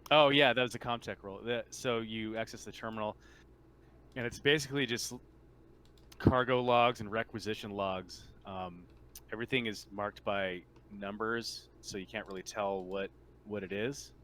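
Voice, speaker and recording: A man improvising narration as a tabletop game master, halting often and padding with "like". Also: fairly low pitch, steady tone, a bit bright. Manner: halting, filler-heavy "like". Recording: noticeable background noise on mic.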